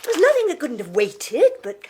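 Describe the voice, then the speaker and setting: An actress with a British accent playing a dry, sardonic secretary in a 1980s radio drama, wry and clipped. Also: female voice, high voice, wildly swooping pitch, normal speed, noisy background.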